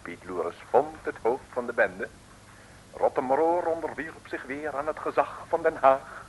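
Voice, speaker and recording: A man's voice: medium pitch, unhurried, a little hiss or hum, low-quality recording. A man narrating an old Dutch radio serial theatrically, in a mock-solemn, tongue-in-cheek way.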